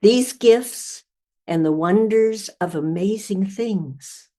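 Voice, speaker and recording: An older woman gives a literary poetry reading. Her pace is very slow, and each phrase is measured and deliberate. Her pitch sits in the ordinary range for a woman. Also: mellow. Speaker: writer reading own poem.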